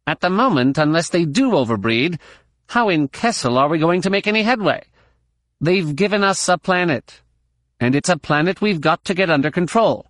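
A man's voice: fairly high pitch, expressive, normal speed, a bit bright, dry recording, clean studio sound, voice pushed up. A man voicing a growling green alien doctor. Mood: exasperated. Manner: growling, impatient. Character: tall green-armed alien doctor, testy and gruff.

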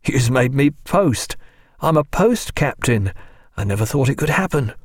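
A man's voice: medium pitch, normal speed, husky, dry recording, studio quality, voice pushed up. A man voicing an overjoyed, eager young naval officer. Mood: elated. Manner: eager, excited.

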